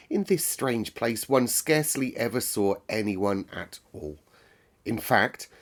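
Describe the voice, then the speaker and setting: Low-voiced man reading a storybook. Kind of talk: scripted storybook narration.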